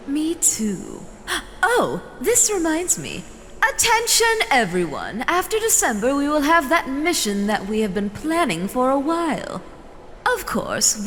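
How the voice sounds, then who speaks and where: A woman playing a doting, possessive female elder in an audio drama, with a drawn-out, sweetly condescending, theatrical delivery. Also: on the high side. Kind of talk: scripted fan audio drama acting. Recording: reverberant hall, faint noise.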